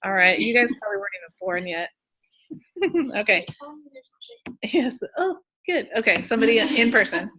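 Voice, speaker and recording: A woman instructor leading class introductions, relaxed and joking, laughing often. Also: mid-range voice, normal speed, pauses often, a little hiss or hum, rough recording. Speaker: course instructor.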